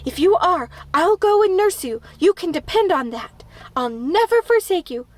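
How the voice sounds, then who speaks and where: A woman's voice: high voice, lively intonation, normal speed. Woman doing a gushing dramatic young girl. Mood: pleading. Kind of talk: audiobook character voice.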